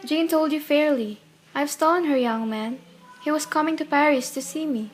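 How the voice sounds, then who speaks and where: A woman's voice: high-pitched, moderate pace, a little hiss or hum, lightly acted. An actress playing a tender, secretive, wistful lady in a radio play. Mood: pleading, guilty.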